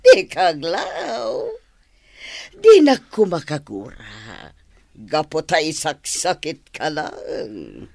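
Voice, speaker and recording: An actress with a Filipino accent playing a cruel, mocking woman who gloats and taunts in drawn-out phrases in a radio drama. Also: low-pitched, wildly swooping pitch, vocal fry, a little mellow, no room sound, heavily acted. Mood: mocking, sadistic. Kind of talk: Philippine radio drama acting.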